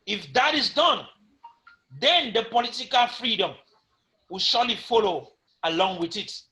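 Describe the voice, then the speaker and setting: A man's voice: high-pitched, animated, measured pace, pushed and bright, rough recording. A man giving an impassioned talk on a talk show, with an emphatic, preaching cadence and much repetition. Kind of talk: impassioned speech to camera.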